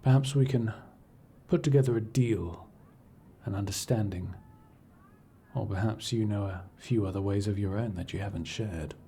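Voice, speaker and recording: A man playing a cool, imperious scholar-agent in a fantasy audio roleplay, speaking in a formal, measured, dryly condescending way. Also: on the low side, mellow, slight background noise, lightly acted. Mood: probing, calculating. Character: cool, imperious male scholar-agent serving an arcane archivist patron. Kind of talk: scripted audio roleplay acting, addressing the listener.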